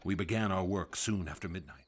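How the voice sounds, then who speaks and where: An actor playing a nervous doctor who recalls grim events in a radio drama, earnest and hesitant. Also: male voice, low voice, a little fast, slight background noise, lightly acted. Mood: confessing. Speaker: narrator and assistant. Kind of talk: radio drama acting and narration.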